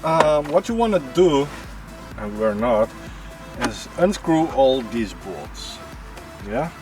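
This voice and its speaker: A Dutch-accented man talks unhurriedly through a car door repair, coaching a helper and turning to his viewers as guys. He speaks at a relaxed, drawn-out pace in a casual how-to manner. Music plays clearly behind his voice. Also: medium pitch. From Netherlands. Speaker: car repair channel host. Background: background music.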